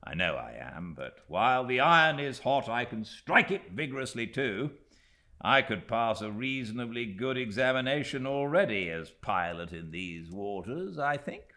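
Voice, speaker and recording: A breezy, self-assured young British gentleman from a classic novel, as a male narrator plays him. The voice is bright and forceful, swinging widely in pitch, with an airy, jocular confidence. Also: very animated, normal speed. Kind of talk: dramatised classic audiobook dialogue.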